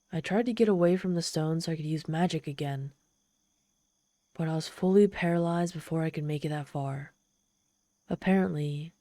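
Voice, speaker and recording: A woman's voice: sits low, dark tone, no room sound. A woman playing a proud, easily flustered female demon in an ASMR roleplay, telling her story in a haughty, theatrical manner. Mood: grudging, irritated. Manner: haughty, theatrical, halting when embarrassed.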